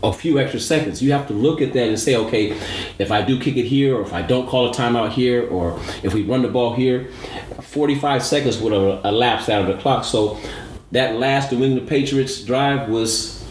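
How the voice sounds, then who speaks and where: A man's voice: mid-range voice, moderate pace. A man giving emphatic, analytical sports commentary as a show co-host, repeating points for emphasis. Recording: roomy echo, audible background noise.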